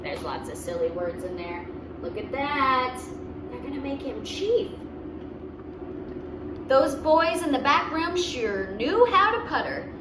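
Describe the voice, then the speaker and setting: A woman reading a picture book aloud to children in an expressive, deliberate way, adding simple asides. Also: sits high, roomy sound. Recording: noticeable background noise.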